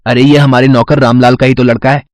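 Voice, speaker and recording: A man's voice: mid-range voice, little pitch movement, fast, a little mellow, no room sound, natural voice. A man voicing a well-off schoolboy in a cartoon moral story. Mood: sneering. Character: spoiled rich schoolboy turned remorseful.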